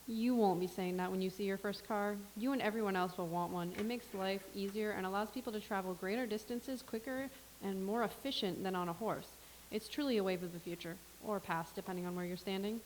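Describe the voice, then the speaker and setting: A faintly hissy stage recording of a knowing time traveler played by a woman. She is patient and instructive, with a medium-pitched voice. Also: a little fast, darkish tone, natural voice, low-quality recording. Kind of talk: staged play reading, comedic. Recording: faint hiss and background noise.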